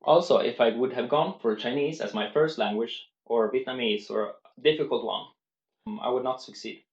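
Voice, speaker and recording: A man's voice: medium pitch, moderate pace, reverberant, lo-fi sound. A man vlogging about language learning, speaking unscripted to the camera in an earnest way and explaining step by step, with many restarts.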